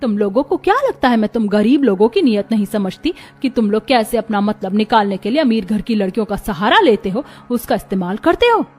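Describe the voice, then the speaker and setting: A woman's voice: mid-range voice, animated, brisk, hardly any pauses, a little mellow, close to an everyday voice. A woman playing a haughty rich mother in a cartoon story, scolding and contemptuous. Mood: scornful, threatening. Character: haughty rich mother, scornful of poor people.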